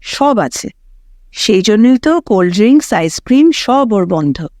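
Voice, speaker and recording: A woman's voice: mid-range voice, sing-song, unhurried, darkish tone, dry recording, clean studio sound. A woman voicing a protective young mother in an audio story, firm and fussing over her small daughter. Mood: anxious, firm.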